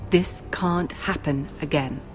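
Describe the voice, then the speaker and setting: A woman's voice: low-pitched, takes it slowly. An actress playing a suspicious, commanding young noblewoman in a video game, formal and clipped. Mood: stern, reproachful. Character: stern, suspicious young noblewoman scolding her handmaidens. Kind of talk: video game drama acting. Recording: soft music behind.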